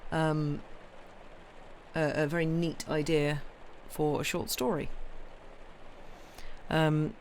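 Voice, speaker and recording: A young woman with a hoarse, croaky voice chats casually with her viewers after reading a story. She rambles in a friendly, apologetic way, full of ums and restarts. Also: low-pitched, level tone, slow pace, long pauses, darkish tone, a little hiss or hum. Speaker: livestream story reader.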